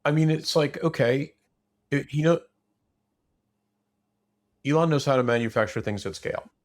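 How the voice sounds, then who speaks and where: A man's voice: medium pitch, normal speed. A man in a casual unscripted discussion, opinionated and blunt, rambling through broken-off sentences.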